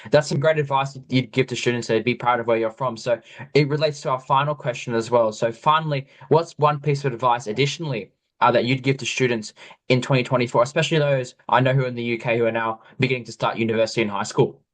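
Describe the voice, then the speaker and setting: An Australian podcast host interviewing a guest, rambling with many "you know"s. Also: male voice, mid-range voice, fairly even intonation, fast talker. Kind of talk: interview chat.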